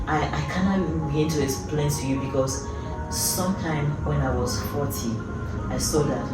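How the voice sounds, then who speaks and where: Very low-voiced woman in her fifties, echoey. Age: early 50s. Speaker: YouTube host. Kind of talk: personal vlog talk to camera. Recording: echoey room, distant mic, loud music.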